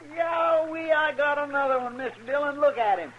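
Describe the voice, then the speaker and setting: An actor playing a folksy, chatty, excitable frontier sidekick with rustic speech in a 1950s radio western. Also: male voice, very high-pitched, normal speed, muffled recording. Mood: excited, teasing. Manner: rustic drawling grammar. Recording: noisy old 1950s radio recording.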